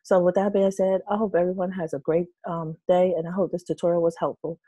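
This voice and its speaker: A woman in her thirties, hoarse from losing her voice, casually explaining an online tutorial with many fillers and restarts. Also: lowish voice, flat delivery, a little fast, warm tone, no echo, dull audio. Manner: rambling, hesitant, many fillers. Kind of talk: casual screen-share tutorial. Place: small room with red curtains, indoors.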